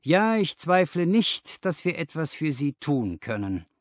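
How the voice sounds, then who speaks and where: Man acting haunted boss, strained, swooping pitch. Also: sing-song, no room sound, studio quality. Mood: forced cheer. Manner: halting, repetitive, forced cheer. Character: haunted, strained company boss forcing politeness.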